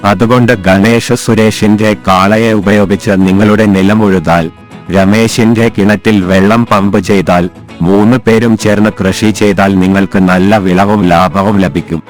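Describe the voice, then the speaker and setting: A man voicing a wise old grandfather in an animated moral story, speaking in a measured, instructive way. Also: on the low side, close to an everyday voice. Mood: advising. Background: background music.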